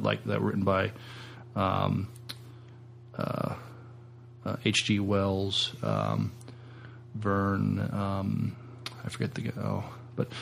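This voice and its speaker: A man co-hosting a podcast, speaking casually in a halting, tentative way. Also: fairly low pitch, vocal fry. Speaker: podcast co-host.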